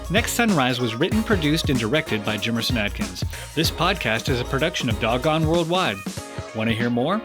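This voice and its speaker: A man's voice: mid-range voice, big pitch swings, a little fast. A man announcing a podcast in scripted narration, reading credits and sign-off over music.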